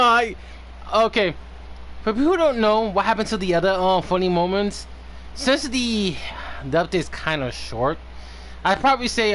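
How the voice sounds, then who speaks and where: A man's voice: high-pitched, a bit bright, slight background noise. A man giving casual, rambling live gameplay commentary, swearing and prone to giggling. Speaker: game streamer.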